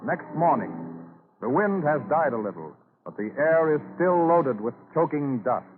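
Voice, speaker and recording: A man delivers dramatic scripted narration in 1940s radio manner. His pitch is lively and varied, and the sound is narrow-band with faint music behind. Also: moderate pace.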